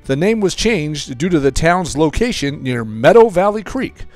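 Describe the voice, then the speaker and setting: A man's voice: fairly high pitch, normal speed, no room sound. Man reading a history voice-over over music. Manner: even, read-aloud delivery.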